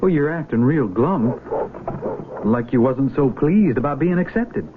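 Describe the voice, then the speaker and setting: An actor playing an eager, naive young Civil War recruit with a folksy, stammering drawl, heard on a tinny old radio broadcast. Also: male voice, medium pitch, animated, unhurried, noisy background, natural voice. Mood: earnest. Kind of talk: 1970s radio drama acting.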